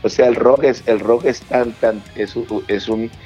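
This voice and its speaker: A man's voice: medium pitch, fairly even intonation, unhurried, a little mellow. A man with a coastal Ecuadorian accent chatting informally as an interview guest over the phone, often repeating his phrases. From Manabí, coastal Ecuador. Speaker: guest, rock band singer and leader. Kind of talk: casual interview chat. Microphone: telephone call. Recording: dull phone line, music behind. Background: music.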